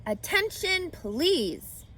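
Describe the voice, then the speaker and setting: A woman's voice: extremely high pitch, sing-song, extremely slow, a bit bright, slight background noise, pitched above the performer's own voice, heavily acted. A woman voicing an eager, naive young male pig in a children's storybook, earnest and over-polite, full of exclamations. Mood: earnest, formal. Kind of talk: children's storybook read-aloud.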